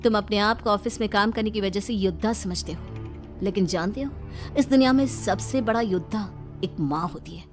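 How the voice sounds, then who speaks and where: A woman's voice: mid-range voice, normal speed, soft background music, close to an everyday voice. A woman playing a cheeky young daughter-in-law in a cartoon story, theatrically quoting film dialogue. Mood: swaggering. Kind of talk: animated moral story acting.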